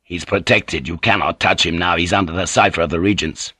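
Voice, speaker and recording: A man's voice: deep voice, steady tone, brisk, pushed and bright, husky, no echo, clean studio sound, heavily acted. A man voicing a cold, commanding samurai lord in an audiobook, giving stern, imperious orders. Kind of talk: audiobook character voice.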